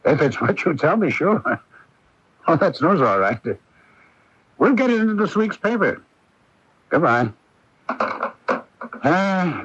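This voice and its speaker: A man's voice: mid-range voice, a bit bright, breathy edge, no room sound, heavily acted. An actor playing a genial, teasing small-town newspaperman with a breezy, folksy manner in a 1980s radio drama. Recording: dull, lo-fi 1980s radio broadcast.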